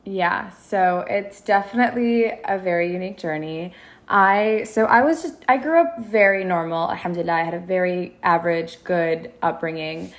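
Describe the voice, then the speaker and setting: Woman, twenties, low-pitched, gravelly, chatty podcast guest, restarts often. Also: normal speed. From Minneapolis, US. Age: 20s. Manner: chatty, many restarts and fillers. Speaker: guest content creator. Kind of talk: casual interview chat.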